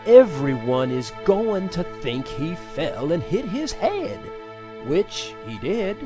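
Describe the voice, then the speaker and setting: A man's voice: on the high side, big pitch swings, slow, over music. A man playing an insistent, controlling husband who schemes, in an audio drama. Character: TJ, defensive scheming husband covering up a death.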